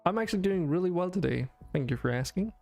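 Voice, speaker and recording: A man's voice: high voice, expressive, fast, creaky, a little mellow, soft background music. A young Danish man chatting to his viewers while streaming a game, rambling with many fillers and restarts. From Denmark. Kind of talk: casual game stream talk to chat.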